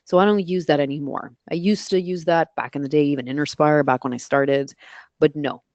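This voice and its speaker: A woman's voice: low-pitched, steady tone, fairly quick, darkish tone, no echo, dull audio. A woman with a voice suited to radio, answering questions as a guest expert in a live webinar and explaining her methods step by step. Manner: practical, explains in steps with self-corrections.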